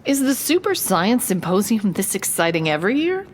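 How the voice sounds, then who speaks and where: A woman playing an inquisitive reporter who narrates a mystery in a dramatic, deliberate way. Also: mid-range voice, sing-song, a little hiss or hum, lightly acted. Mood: probing. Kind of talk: scripted noir-like dramatic narration.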